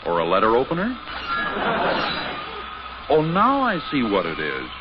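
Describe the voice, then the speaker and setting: A man's voice: mid-range voice, wildly swooping pitch, measured pace, very noisy. A man announcing and narrating an old radio comedy in 1950s style. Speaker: show announcer. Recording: dull old radio broadcast recording.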